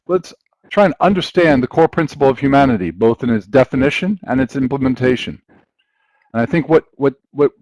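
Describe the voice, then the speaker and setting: A man hosting an online session, presenting in a measured, formal way as he introduces the event and guest. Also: medium pitch, a little mellow, no echo, rough recording. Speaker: webinar host introducing a guest speaker. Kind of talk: scripted webinar introduction.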